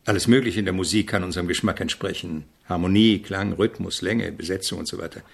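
A reflective composer and teacher in a radio play. His voice is low and husky, his measured and didactic. He is courteous yet exacting, setting out his thoughts with care. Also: heavily acted. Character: famous male avant-garde composer, reflective, exacting, polite teacher. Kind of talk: German radio drama acting.

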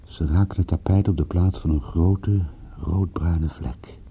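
An actor playing a world-weary, reluctant private detective who tells his story in a brooding, measured radio drama narration with a husky voice. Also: sits low, steady tone, slight background noise. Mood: grim, restrained. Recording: muffled, phone-like old radio play recording.

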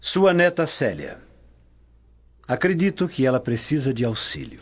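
An actor playing a haughty, weary Roman prefect in a radio drama, theatrical in manner. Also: male voice, medium pitch, wildly swooping pitch, measured pace, muffled recording. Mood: weary disdain.

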